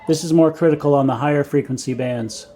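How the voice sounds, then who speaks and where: A man narrating a scripted technical explanation in a measured, matter-of-fact way, as a hobbyist presenter. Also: a little high, steady tone, mellow. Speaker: vintage radio hobbyist and presenter.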